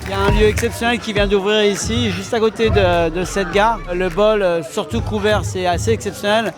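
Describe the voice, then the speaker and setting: A man giving casual, halting interview remarks. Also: high voice, steady tone. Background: clearly audible music.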